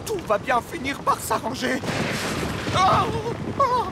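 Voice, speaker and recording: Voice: very high-pitched, sing-song, slow pace, vocal fry, heavy background noise, full character voice. Un comédien de doublage interprète un petit bateau à voile, serviable mais anxieux, qui pousse souvent des cris et des soupirs inquiets. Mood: frightened. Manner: frequent worried gasps and cries. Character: small anxious but helpful male sailing boat. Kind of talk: French cartoon dub.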